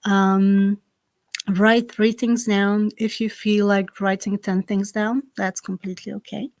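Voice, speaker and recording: A woman with a Slovak accent, a native Slovak speaker, hosting a live-streamed ritual from home and giving slow, gentle instructions full of fillers. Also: mid-range voice, little pitch movement, no echo. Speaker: spiritual ritual host. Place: home in the south of France. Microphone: computer on a live stream.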